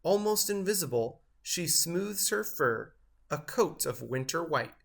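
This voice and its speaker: A man reading a children's picture book aloud, with expressive delivery and measured, careful phrasing. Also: fairly high pitch, vocal fry. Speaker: storybook reader.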